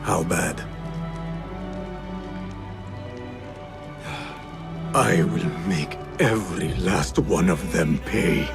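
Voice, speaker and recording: An actor playing a grim, vengeful young assassin with intense, formal delivery in a game cutscene. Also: male voice, low voice, takes it slowly, gravelly, music in the background, heavily acted. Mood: bitter anger. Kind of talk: dramatic video game cutscene acting.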